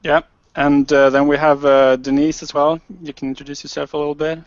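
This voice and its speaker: Swedish-born guy hosting a call panel, pretty monotone, dry muffled call audio. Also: fairly high pitch, brisk, no echo. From Sweden. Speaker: online marketer, panel host. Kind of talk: casual video call panel talk. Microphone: video call.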